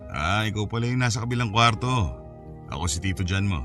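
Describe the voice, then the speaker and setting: A man's voice: on the low side, animated, brisk, fairly bright tone, soft background music. An actor playing an awkward, casually friendly Filipino man visiting a child's home, hesitant in an audio drama. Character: awkward adult male visitor, mother's lover, casually friendly. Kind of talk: acted audio drama dialogue.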